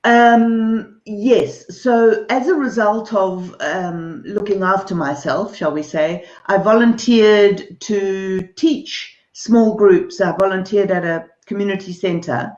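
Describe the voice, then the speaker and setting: A woman from Zimbabwe and South Africa with a South African accent, a guest answering interview questions in a relaxed, thoughtful way with many hesitations. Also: medium pitch, takes it slowly, few pauses. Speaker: artist and author, interview guest. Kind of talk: casual video-call interview. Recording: dull lo-fi video call in a roomy space.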